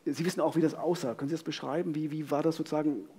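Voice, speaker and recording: A man's voice: fairly high pitch, fairly even intonation, racing, mellow, a little hiss or hum. A middle-aged man co-hosting a live true-crime talk, phrasing questions in a searching, self-correcting way. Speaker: co-host. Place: vaulted venue, live audience on stage. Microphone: headset.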